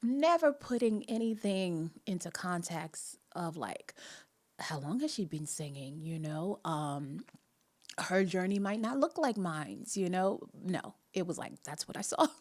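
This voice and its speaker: A young woman with a Jamaican accent hosting a faith podcast, telling a personal story in a casual, animated way and laughing often. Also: lowish voice, a little slow. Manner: laughs often, animated, many restarts and asides. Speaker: podcast host.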